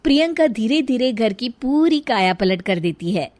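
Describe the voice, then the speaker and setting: A woman's voice: fairly high pitch, expressive, normal speed, darkish tone, no echo. A woman reading scripted narration for a story, as the narrator.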